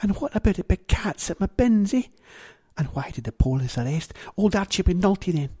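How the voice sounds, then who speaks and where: A man's voice: sits high, lively intonation, normal speed, mellow, husky, voice pushed up. A man voicing a petulant older female shop owner. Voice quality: nasal.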